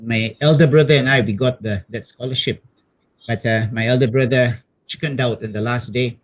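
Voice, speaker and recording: A middle-aged man with a Malaysian accent speaks as an interviewed missionary priest. His voice has an average pitch. He tells his own story casually and hesitantly, repeating words and adding little conversational tags. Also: steady tone, normal speed.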